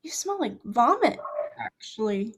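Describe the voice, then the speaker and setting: A woman's voice: a little high, sing-song, measured pace, fairly bright tone, husky, heavily acted. A young woman in a staged play reading, acting a guarded, lovesick girl with a hesitant, confessional delivery. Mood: accusing.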